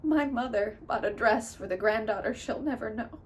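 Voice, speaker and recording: A woman delivering a theatrical dramatic monologue in an emotive, emphatic way, swinging between soft and loud. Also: fairly high pitch, moderate pace. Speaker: actor performing monologues. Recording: distant mic with clear background noise.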